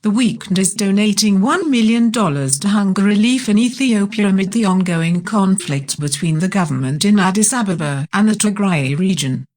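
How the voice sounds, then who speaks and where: A woman narrating a news report in a measured, even read-aloud manner. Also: medium pitch. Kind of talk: scripted news reading.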